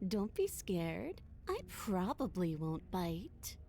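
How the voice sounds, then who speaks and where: Sly, menacing princess. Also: female voice, fairly low pitch, big pitch swings, takes it slowly, full character voice. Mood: teasing. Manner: playful, mocking, quietly threatening. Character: menacing teasing woman, princess in a dark basement. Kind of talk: video game voice acting.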